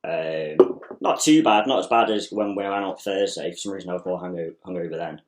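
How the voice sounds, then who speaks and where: A young man hosts a podcast, asking questions in an easy, unhurried way. His voice sits very low. The room around him rings with a noticeable echo. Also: slight background noise. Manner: relaxed, laid-back questioning. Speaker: podcast host. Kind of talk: casual chat. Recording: roomy echo.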